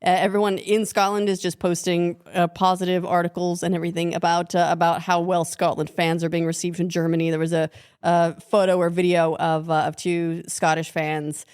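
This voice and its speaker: Upbeat low-pitched woman co-host. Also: flat delivery, a little mellow, clear, resonant tone. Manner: upbeat, laughing, quick wry replies. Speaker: podcast co-host. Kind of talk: casual chat.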